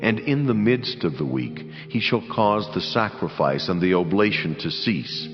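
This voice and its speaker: An actor playing a solemn, commanding angel in a dramatized scripture reading, speaking in measured, declamatory phrases. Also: male voice, low-pitched, animated. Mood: solemn, authoritative. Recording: dull sound, long cavernous reverb, music underneath.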